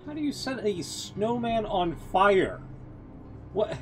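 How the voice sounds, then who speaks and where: A man live streaming, giving casual, excitable and blunt reactions with some swearing. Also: sits high, slow, slight background noise.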